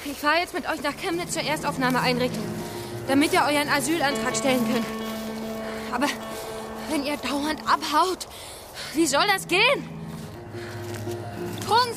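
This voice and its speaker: Pushy impatient aid worker lady, high pitched, blunt, radio drama w/ loud music and car noise. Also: lively intonation, unhurried, pauses often. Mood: exasperated, urgent. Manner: brusque, exasperated, colloquial. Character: bossy, impatient female aid worker, fiercely protective.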